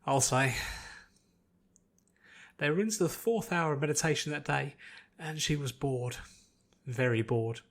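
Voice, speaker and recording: Higher-pitched male story narrator. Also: animated, moderate pace. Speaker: audiobook narrator.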